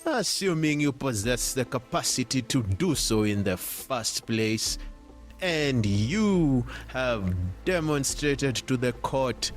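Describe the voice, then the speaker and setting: A stern older judge played by a man delivers a sentence in an audio drama. He is grave, formal and full of disgust, with slow, scathing phrasing that rises and falls sharply over music. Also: medium pitch, animated. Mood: contemptuous.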